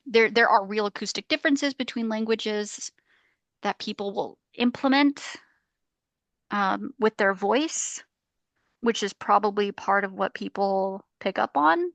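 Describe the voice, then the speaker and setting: A woman's voice: mid-range voice, fairly bright tone, no room sound. A woman researcher in an academic panel discussion on a video call, talking hesitantly with frequent restarts and fillers. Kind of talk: academic round-table discussion.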